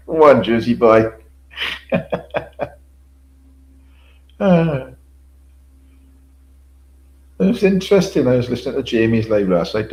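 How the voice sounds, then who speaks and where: Gravelly older man rambling on a livestream. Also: lowish voice, a little mellow, poor audio. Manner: rambling, repeats himself, swears casually, chuckles. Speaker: livestream host. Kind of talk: casual solo livestream chat.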